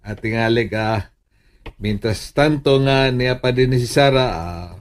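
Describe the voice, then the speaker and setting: A man's voice: mid-range voice, measured pace, close to an everyday voice. A man playing a courteous, probing middle-aged father in a radio drama, hesitant and stumbling between words. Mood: sheepish. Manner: hesitant, full of ums and chuckles. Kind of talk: Filipino radio drama acting.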